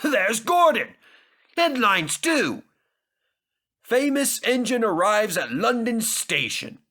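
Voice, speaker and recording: Man as high, blustery railway boss. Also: sing-song, takes it slowly, sharp tone, exaggerated voice. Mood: astonished. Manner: emphatic, exclamatory. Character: blustery, authoritative male railway controller.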